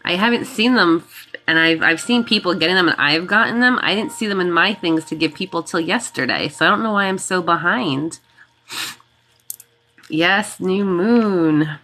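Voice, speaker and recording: A woman's voice: on the low side, normal speed, bright, forceful tone. A woman hosting a reiki livestream, greeting and thanking viewers by name in a casual, chatty way while congested and sniffly from a cold.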